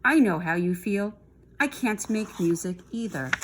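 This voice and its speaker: A woman's voice: medium pitch, animated, measured pace, a little hiss or hum, natural voice. A woman voicing a thin, wispy female spider from a children's storybook, kindly and clever as she gives advice. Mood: encouraging.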